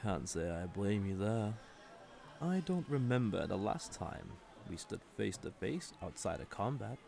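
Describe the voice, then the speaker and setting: An actor playing a haughty, sardonic villain commander in a roleplay audio drama, condescending and drawling, with mock-sweet menace. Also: male voice, on the low side, very animated, measured pace, gravelly, warm tone, slight background noise, full character voice. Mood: cold, teasing.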